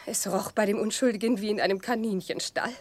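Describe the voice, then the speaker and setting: An actress playing a frivolous, gushing young countess in a German radio play, with affected, theatrical society chatter. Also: female voice, mid-range voice, fairly even intonation, brisk, natural voice. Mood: affected, playful.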